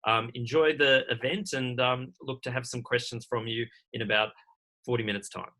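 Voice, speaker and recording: A man giving an informal welcome as event host, with halting, stop-start phrasing. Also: mid-range voice, fairly even intonation, pushed and bright.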